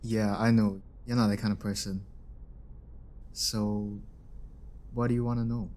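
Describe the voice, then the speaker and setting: Man acting as a grumbling engineer, lowish voice. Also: level tone, a little slow, darkish tone, slight background noise, lightly acted. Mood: concerned. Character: grumbling but soft-hearted male robotics engineer. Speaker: voice actor in an audio roleplay. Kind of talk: scripted audio drama acting.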